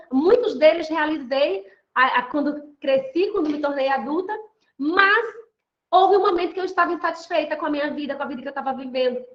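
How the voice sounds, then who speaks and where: A woman's voice: high voice, fairly bright tone, muffled recording. A middle-aged woman from Salvador with a light Bahian accent gives an online talk in a chatty way, talking straight to her listeners and often asking if they agree. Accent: light Bahian Portuguese. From Salvador, Bahia, Brazil. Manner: chatty, addresses listeners directly, many tag questions. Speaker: online lecturer and women's mentor.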